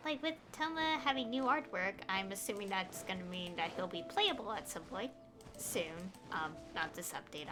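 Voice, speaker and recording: A woman game streamer chatting casually and rambling, with an exasperated, opinionated, pleading emphasis. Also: on the high side. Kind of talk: casual rambling livestream chat.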